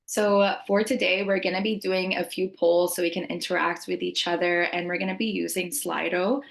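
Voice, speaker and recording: A young woman from Lebanon co-hosts an online webinar from home, speaking through a laptop mic on a video call. Her voice sits a little low, and she welcomes people in a friendly, scripted way, with a few ums along the way. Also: flat delivery, moderate pace, gravelly. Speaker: webinar co-host.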